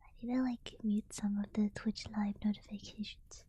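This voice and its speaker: A tired woman streamer, yawning as she chats softly with her viewers in a whispered ASMR stream. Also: mid-range voice, level tone, moderate pace, slightly rough. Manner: soft whispering, chatty with viewers.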